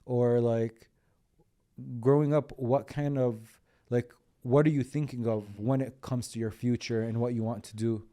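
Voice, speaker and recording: A man hosting a podcast, asking curious, halting questions in a casual interview. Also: medium pitch, steady tone, dark tone. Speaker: podcast host. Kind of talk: conversational interview.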